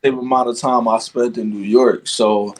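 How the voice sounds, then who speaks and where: A man's voice: medium pitch, normal speed. Young man, casual podcast guest. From Guyana. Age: young adult. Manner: relaxed, lots of fillers like "you know what I'm saying". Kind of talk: casual conversation.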